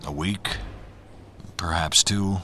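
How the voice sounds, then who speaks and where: A man playing a grave, reserved doctor in an audio drama, speaking in a measured, solemn way. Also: low-pitched, sharp tone, full character voice. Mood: grave, sympathetic. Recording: faint background noise.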